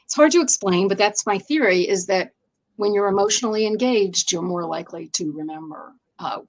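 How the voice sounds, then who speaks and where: An American woman with a mid-pitched voice speaks as a guest in an interview. She explains her points in a conversational, thoughtful way, often qualifying and rewording them. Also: a little fast. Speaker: interview guest, education writer.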